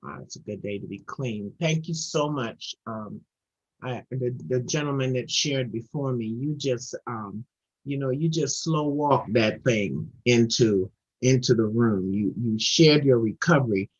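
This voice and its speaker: A middle-aged woman shares her recovery story in a husky, earnest voice. She circles back on her words as she builds up speed. It comes through dull, lo-fi video call audio. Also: very deep voice, measured pace, darkish tone. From Trinidad and Tobago. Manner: earnest, repeats words while building momentum. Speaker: guest speaker at a recovery meeting.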